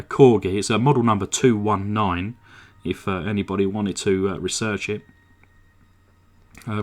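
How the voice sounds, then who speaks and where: Croaky, relaxed man narrating his workbench restoration. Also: fairly low pitch. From England. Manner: relaxed, chatty, many "uh" fillers. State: slightly croaky, sore throat. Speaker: model car restorer. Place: home workshop. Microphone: desk microphone.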